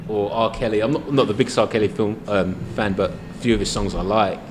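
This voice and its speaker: A man's voice: on the low side, fast talker, noisy background. An Australian man in a casual discussion, rambling and hesitant, often restarting his sentences. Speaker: podcast co-host. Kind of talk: casual pub-style discussion.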